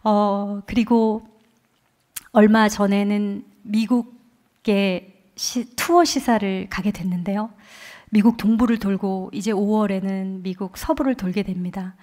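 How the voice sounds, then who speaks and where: A woman in her forties giving a heartfelt testimony as a guest speaker at a church revival, telling her story with earnest conviction into a microphone. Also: mid-range voice. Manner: earnest storytelling, quoting others with lively emotion. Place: church sanctuary.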